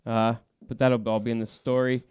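A laid-back man hosts a podcast around a studio table, his voice smooth and clear. He pads his talk with easy filler words. The room sounds dry and close. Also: mid-range voice, little pitch movement, normal speed, a little mellow, no room sound, highs cut off. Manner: voice that carries. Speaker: podcast host. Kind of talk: casual fly fishing podcast chat.

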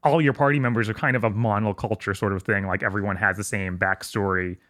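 A man's voice: on the low side, steady tone, fast, a bit bright. A man co-hosting a casual video game podcast, rambling easily and laughing often. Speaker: podcast co-host. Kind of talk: casual chat about video games.

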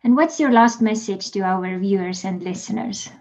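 A woman's voice: mid-range voice, normal speed, darkish tone, lo-fi sound. A woman with an Estonian accent, hosting a podcast interview in a chatty way and laughing easily. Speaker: podcast host. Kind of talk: casual interview chat.

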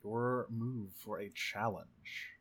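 A man narrating improvised action in a tabletop roleplaying game, halting as he thinks aloud, with dramatic flourishes. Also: lowish voice, fairly even intonation, fairly bright tone, husky. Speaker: tabletop game player narrating his character.

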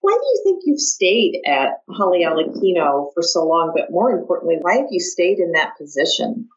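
A woman's voice: fairly low pitch, very animated, moderate pace, lo-fi sound. A woman from the American Midwest with an American accent, hosting a conversational podcast interview. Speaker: podcast host.

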